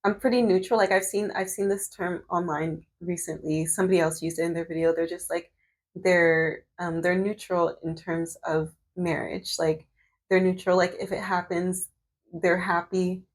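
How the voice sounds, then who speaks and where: Woman, thirties, vlog chat while braiding, slightly low voice, lots of fillers and restarts, sometimes turned away from the camera. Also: fairly even intonation, normal speed. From Canada. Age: 30s. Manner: chatty, many fillers and restarts. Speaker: medical student and vlogger. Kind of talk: casual chat while doing her hair.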